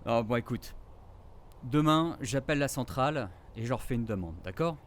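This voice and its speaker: An actor playing a teasing, affectionate forest ranger in a naturalistic audio drama, with casual, slangy delivery. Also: male voice, mid-range voice, lively intonation, moderate pace, a little hiss or hum, close to an everyday voice. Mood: subdued, serious.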